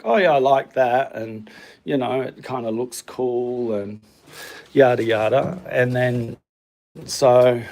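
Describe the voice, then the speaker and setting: A man's voice: medium pitch, vocal fry, a little mellow. A man chatting casually and a bit hesitantly while showing viewers around his home. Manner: rambling, hesitant, self-deprecating. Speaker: vlogger. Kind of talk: casual home tour chat. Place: home hallway and studio room.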